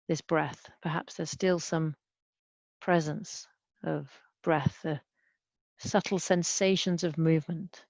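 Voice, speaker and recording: A woman leading a guided body scan meditation as a mindfulness teacher, speaking gently and unhurriedly with long pauses. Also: low-pitched, gravelly, no echo. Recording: dull, muffled lo-fi sound.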